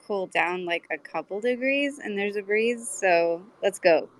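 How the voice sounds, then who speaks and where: A woman chatting casually in an easygoing, unhurried way from an outdoor porch. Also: mid-range voice, lively intonation. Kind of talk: casual chat. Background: wind chimes.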